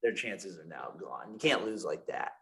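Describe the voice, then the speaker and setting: A man hosts a sports podcast in a loose, rambling way, full of fillers and self-corrections. His voice sits low. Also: very animated, moderate pace, creaky, crowd noise. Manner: rambling, many fillers and restarts. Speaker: podcast host. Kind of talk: casual sports podcast chat.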